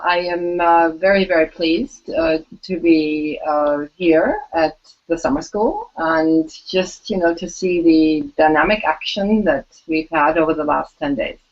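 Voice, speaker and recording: A woman's voice: fairly low pitch, a little slow, muffled recording. A woman program director speaking hesitantly with many fillers.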